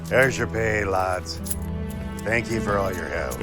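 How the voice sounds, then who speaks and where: An actor playing a stout, cheerful ship's purser, friendly and hearty in a radio drama. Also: male voice, fairly low pitch, slow pace, music in the background.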